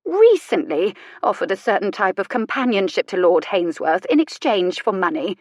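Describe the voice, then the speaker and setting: A woman's voice: mid-range voice, very animated, normal speed, dry recording, clean studio sound. A woman voicing a prim society matron in an audiobook romance, discreet and confiding as she defers to the vicar.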